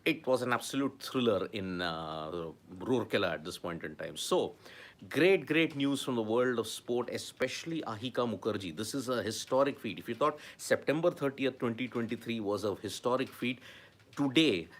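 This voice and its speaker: An Indian man hosting a morning sports news roundup. His voice sits at a middle pitch, and he speaks emphatically, doubling up words to hammer home each point. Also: animated, moderate pace. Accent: Indian English. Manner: emphatic, repeats key words for effect.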